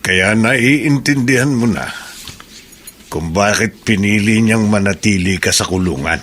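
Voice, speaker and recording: A man's voice: on the low side, a little slow, a bit bright, slight background noise. A man with a Filipino accent playing a kindly, wise mentor who gives fatherly counsel in a radio drama. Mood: caring, probing. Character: kindly, wise male mentor to a young prisoner.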